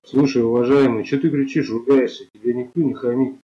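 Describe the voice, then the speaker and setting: Guy on game voice chat, normal pitch, explains calmly then gets sneery and abusive. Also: a little fast, lo-fi sound. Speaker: tank game player. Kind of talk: voice chat argument.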